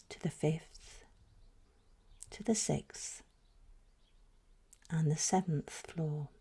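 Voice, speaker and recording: A woman with a low, mellow voice leads a guided spiritual meditation. Her delivery is soft and breathy, often sinking close to a whisper. She places each phrase slowly and soothingly, leaving room between them. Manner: slow, soothing, deliberate phrasing with pauses.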